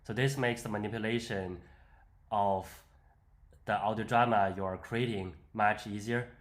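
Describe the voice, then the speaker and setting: A man presenting an unscripted software walkthrough, explaining step by step in a hesitant way. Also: fairly low pitch, fairly even intonation, a little slow. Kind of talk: unscripted screen-demo walkthrough.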